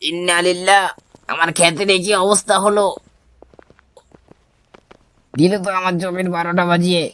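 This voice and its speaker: A man's voice: high voice, level tone, moderate pace, a bit bright, smooth, dry recording. A man voicing an irritable cartoon village farmer who complains and exclaims to himself. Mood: angry. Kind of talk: cartoon voice acting.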